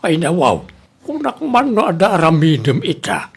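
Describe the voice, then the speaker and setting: A woman's voice: fairly low pitch, wildly swooping pitch, normal speed, creaky, slightly rough, full character voice. A woman acting a teasing, plain-spoken villager in an audio comedy drama.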